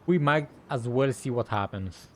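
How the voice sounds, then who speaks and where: A man's voice: medium pitch, animated, moderate pace. A man giving casual, laid-back reactions as he plays a game, with game music behind him. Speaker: gaming streamer. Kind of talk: casual game playthrough reaction, reading along. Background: faint game music.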